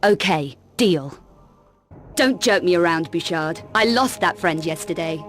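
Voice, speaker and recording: Sardonic, tough adventurer heroine. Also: female voice, lowish voice, expressive, measured pace, a bit bright, faint music behind. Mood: angry, defiant. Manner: sardonic, curt, defiant. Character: brash, cool adventurer heroine, tough and sarcastic. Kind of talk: video game cut-scene acting.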